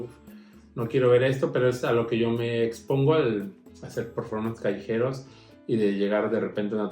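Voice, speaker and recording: A man's voice: mid-range voice, a little mellow. Man in an echoey room. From Mexico. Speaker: drag queen street performer. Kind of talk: personal interview talk. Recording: echoey room with music behind.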